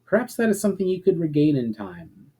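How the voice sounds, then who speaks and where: A man's voice: fairly high pitch, very animated, a little fast, mellow, slightly rough, voice pushed up. A man voicing a noble male elf warrior in a fantasy storybook, earnest, courteous and formal. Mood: sincere, gentle.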